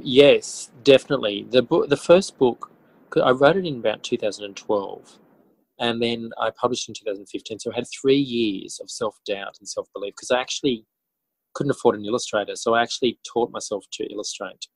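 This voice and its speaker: A man with an Australian accent answering interview questions conversationally, reflective and often halting to rephrase as he tells his story. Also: mid-range voice, low-quality recording. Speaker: interview guest, author and teacher.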